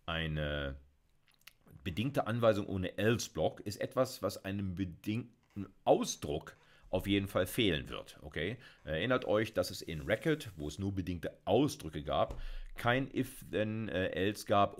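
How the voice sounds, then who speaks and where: A middle-aged man giving a recorded university lecture on programming, talking through slides in a chatty way with many fillers. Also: low voice. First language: German. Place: small dark room at a desk.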